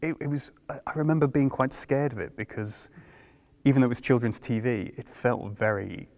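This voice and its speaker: A man's voice: mid-range voice, fairly quick, darkish tone. A man in casual conversation as an interviewer, sharing his thoughts with a colleague. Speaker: interviewer and creative collaborator.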